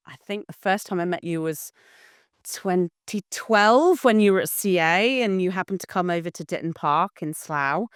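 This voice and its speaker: A woman's voice: medium pitch, smooth, dry recording, clean studio sound. A woman hosting a podcast, interviewing her guest in a friendly, conversational way. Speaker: podcast host.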